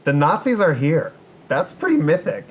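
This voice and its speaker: Animated male podcast co-host, very lively pitch. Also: moderate pace. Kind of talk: casual, joking podcast banter.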